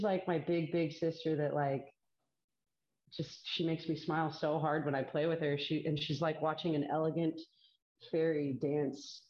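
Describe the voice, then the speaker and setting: A woman's voice: sits low, a little mellow. A young woman talking casually as an interview guest, chatty and bubbly, laughing often. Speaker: guest, disc golf player and commentator. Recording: echoey, dull lo-fi call audio.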